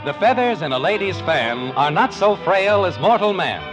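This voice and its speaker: A man's voice: sits high, very animated, normal speed, rough recording. A man playing a wry, mock-solemn narrator in a 1950s radio sitcom. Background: music.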